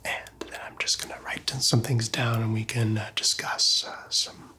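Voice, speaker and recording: Male, ASMR doctor roleplay: hushed, breathy whisper, unhurried and reassuring, medium pitch, close to the mic. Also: level tone. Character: calm, reassuring male doctor examining a patient. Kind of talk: ASMR medical roleplay, softly spoken to the listener.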